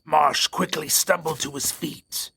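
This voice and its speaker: Slow, gravelly male storyteller. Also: on the high side, fairly even intonation, bright, forceful tone, no echo. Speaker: narrator. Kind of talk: scripted storytelling narration.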